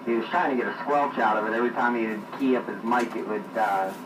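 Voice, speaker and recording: A man talking over CB radio, plain-spoken and unhurried. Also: lowish voice, fairly even intonation. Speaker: CB radio operator. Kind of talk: casual radio chat. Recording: distant echoey CB radio with static.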